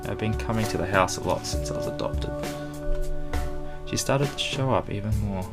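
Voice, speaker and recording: A low-pitched man narrates a visual novel playthrough as he plays. He reads the text aloud, tripping over words and dropping in joking asides, with game music prominent behind him. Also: normal speed, vocal fry. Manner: jokey asides, stumbles over words while reading. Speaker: gaming channel host.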